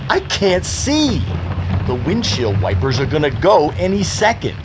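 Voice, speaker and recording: A man's voice: high-pitched, huge pitch swings, measured pace, over music, full character voice. A man playing a grumpy, exasperated husband in a comic audio drama, irritable and sarcastic with muttered grunts. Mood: sarcastic, grumbling. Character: grumpy exasperated husband driving through a rainstorm.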